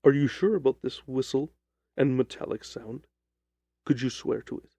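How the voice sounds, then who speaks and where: Guy doing a keen detective, low mellow voice, clipped bossy questions, audiobook drama. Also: normal speed, husky, no room sound. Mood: probing, measured. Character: keen, probing male detective questioning a client.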